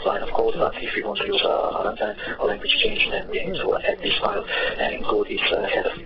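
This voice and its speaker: Gravelly Croatian man, noisy distant recording. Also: high voice, huge pitch swings, fast talker, highs cut off. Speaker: software tutorial presenter. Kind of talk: unscripted step-by-step software tutorial.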